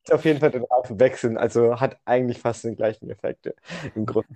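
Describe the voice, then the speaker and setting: A young man co-hosting a podcast in casual conversation, telling things in a halting way and often correcting himself. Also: mid-range voice, mellow. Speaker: podcast co-host. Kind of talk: casual chat.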